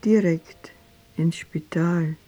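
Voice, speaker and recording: Bereaved adult daughter, radio play monologue. Lowish register, extremely slow, broken phrasing, loud orchestral music underneath. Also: female voice, steady tone. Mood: stark, shaken. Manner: halting, deliberate phrases with pauses. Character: grieving grown daughter recalling her father's death. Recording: loud strings and brass over voice.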